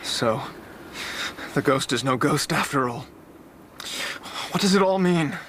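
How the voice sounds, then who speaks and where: An actor playing a gentlemanly baronet in a radio drama, earnest and agitated. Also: male voice, a little high, expressive, takes it slowly, heavily acted. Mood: shaken, questioning. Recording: radio drama with clear ambient noise.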